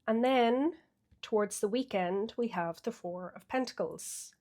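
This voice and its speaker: A woman's voice: a little high, unhurried, a little mellow. An Irish woman getting over a cold and a bit sniffly, chatting casually through a weekly tarot reading with many fillers. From Ireland. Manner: chatty, thinks aloud with fillers. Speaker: tarot reader.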